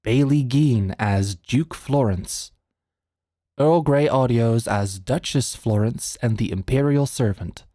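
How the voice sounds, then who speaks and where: A man's voice: medium pitch, slow, warm tone, no room sound. A man reading the closing credits and support notes as the show's producer. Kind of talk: scripted outro announcement. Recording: dry with faint music behind.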